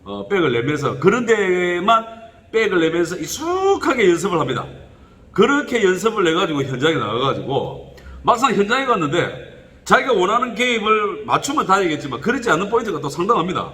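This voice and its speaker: A middle-aged male welding instructor talks in a strong regional Korean dialect, rambling along with plenty of fillers. His voice is fairly high and bright and leaps up and down as he explains. A long, hall-like echo trails each phrase. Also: brisk. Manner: animated, rambling, with frequent fillers and pitch jumps. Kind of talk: casual spoken instruction to camera.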